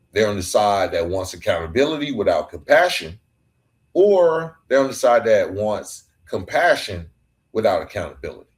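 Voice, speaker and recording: Male podcast host, lower voice, earnest solo talk built on emphatic repeated lines. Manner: earnest, building emphatic repetitions. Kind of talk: reflective podcast monologue.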